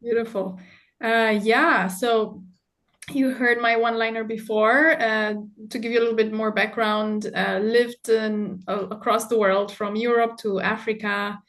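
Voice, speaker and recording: A woman's voice: fairly high pitch, flat delivery, a little slow. Woman from Romania chatting on a call. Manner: warm, spiritual wording, many fillers. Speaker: leadership coach. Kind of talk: casual video call chat.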